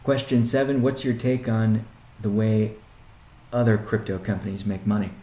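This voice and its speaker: A man speaking as a chief executive on a conference call, answering questions in a halting, deliberate way with pauses and restatements, in a roomy recording. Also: on the low side, level tone, dark tone. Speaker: company CEO hosting a weekly conference call. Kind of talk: question-and-answer business briefing. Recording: roomy, echoing sound.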